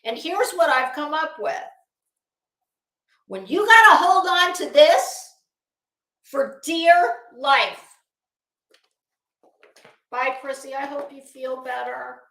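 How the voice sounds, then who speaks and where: A woman's voice: high voice, expressive, slow, pauses often, a bit bright, reverberant, rough recording. A woman doing casual livestream commentary as host, blunt, salty and emphatic, with a disdainful edge.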